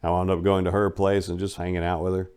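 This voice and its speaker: A man with a fairly low voice narrates a memoir in the first person. He reads from a script as an audiobook performer would, in a dramatic reading style. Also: flat delivery, fast talker, a little mellow, smooth. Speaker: audiobook reader.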